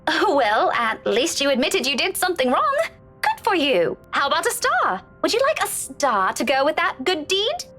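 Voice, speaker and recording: A woman's voice: high voice, huge pitch swings, normal speed, a bit bright, soft background music, heavily acted. A woman voicing a blunt, teasing friend in a visual novel game, exasperated but caring. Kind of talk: dramatic visual novel voice acting.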